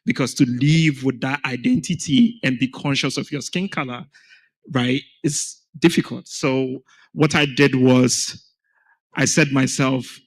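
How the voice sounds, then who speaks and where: A man from Ghana speaks as a guest on a conference panel. He tells his story earnestly and presses his points with emphasis. His voice sits at an ordinary middle pitch. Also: vocal fry, rough recording. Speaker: panel guest, founder of an education organization. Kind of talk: panel conversation.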